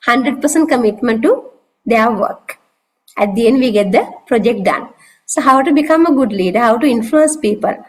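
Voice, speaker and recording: A woman course instructor giving a relaxed, conversational overview of a course on a video call, with frequent casual fillers. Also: on the high side, slight background noise, poor audio. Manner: conversational, loose with fillers like "you know, like". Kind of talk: online course orientation talk.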